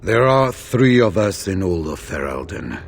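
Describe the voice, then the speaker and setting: A pragmatic veteran warrior in a fantasy game, with a fairly low voice. He reasons soberly and formally, with measured firmness, over music. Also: very animated, gravelly, heavily acted. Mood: firm, reasoning. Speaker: voice actor in a fantasy role-playing game. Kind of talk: dramatic fantasy game cutscene acting.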